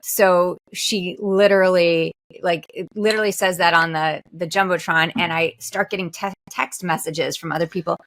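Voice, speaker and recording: A woman's voice: fairly low pitch, fairly even intonation, normal speed, hardly any pauses, a bit bright. A woman in her forties co-hosting a podcast with her daughter, telling stories in a chatty way and laughing often. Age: 40s. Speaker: podcast co-host, mother. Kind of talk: casual chat.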